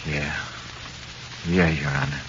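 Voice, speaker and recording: A man's voice: very deep voice, little pitch movement, takes it slowly, vocal fry, fairly bright tone, full character voice. An actor playing a haunted, nervy small-time crook who talks in plain, ungrammatical tough-guy slang, in 1940s radio drama. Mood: subdued. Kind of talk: 1940s radio drama acting, first-person narration. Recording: muffled, noisy 1940s radio recording.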